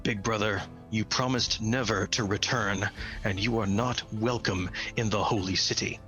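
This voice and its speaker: A man's voice: fairly low pitch, fairly even intonation, moderate pace, bright, forceful tone, faint music behind. Man playing bitter, stern bird-folk guardsman. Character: resentful bird-folk guard, younger brother of warrior.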